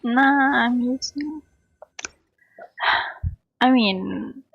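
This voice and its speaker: Woman, game streamer, strained husky voice, very slow halting talk, dull lo-fi mic. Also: on the high side, no echo. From Indonesia. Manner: slow, halting, repeats words. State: strained, hurt voice. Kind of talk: casual gameplay chat with viewers.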